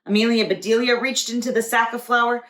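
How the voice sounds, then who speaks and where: A woman's voice: fairly high pitch, fairly even intonation, brisk, a bit bright. A woman, a preschool teacher, reading a children's storybook aloud. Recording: echoey room.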